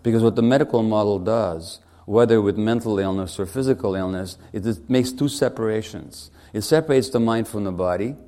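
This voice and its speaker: Brief: man, 60s, from Hungary, physician at a podium, wry and conversational, warm low voice. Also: brisk, hardly any pauses. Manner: conversational, wry, asks the audience questions. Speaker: physician giving a public lecture. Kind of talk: public speech to an audience.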